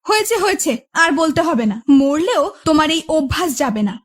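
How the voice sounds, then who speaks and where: Woman playing a very high-pitched, nagging cartoon wife. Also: big pitch swings, fairly quick. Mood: reproachful. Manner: dramatic, complaining, taunting. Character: nagging, melodramatic wife of a stingy husband. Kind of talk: Bengali cartoon voice acting.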